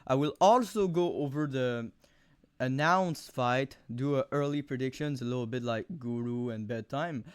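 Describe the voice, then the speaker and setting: A young man with a thick Quebec French accent giving rambling, profane fight predictions on camera, full of fillers and sudden animated outbursts. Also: mid-range voice, normal speed, clear, resonant tone, no room sound. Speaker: MMA video host. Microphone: camera mic, close.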